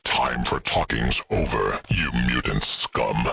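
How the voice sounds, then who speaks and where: Hulking villain enforcer, video game. Bottomless growling bass, heavily gravelled, contemptuous and menacing. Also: male voice, slow, pushed and bright, a little hiss or hum, heavily acted. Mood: cold menace. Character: huge menacing mutant government enforcer, brutal and contemptuous. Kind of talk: scripted video game voice acting.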